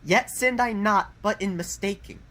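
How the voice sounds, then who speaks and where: A man playing a proud young gentleman lord in a Shakespeare radio play. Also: high voice, normal speed. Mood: pleading.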